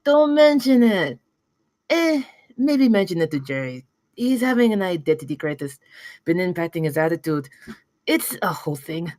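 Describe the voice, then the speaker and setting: A woman's voice: lowish voice, big pitch swings, moderate pace, a little mellow. A woman at a table read playing a chatty eighteen-year-old counter worker in a donut shop. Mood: chatty, breezy. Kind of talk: scripted film table read.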